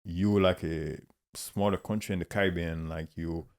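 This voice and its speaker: Very deep-voiced man. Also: fairly even intonation, crowd noise. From Haiti. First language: Haitian Creole. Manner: halting, searching for words. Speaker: guest artist. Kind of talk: casual conversation.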